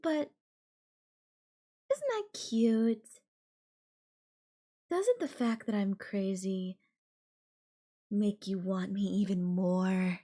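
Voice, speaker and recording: An obsessive yandere girlfriend, acted by a woman for an intimate audio roleplay. She speaks slowly at a middling female pitch, drawing her phrases out coyly. Her casual, chatty wording carries a possessive, playful menace. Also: expressive, mellow. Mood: teasing, menacing. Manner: coy, drawn-out, casual filler-laden phrasing with giggles and sighs. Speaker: voice actor.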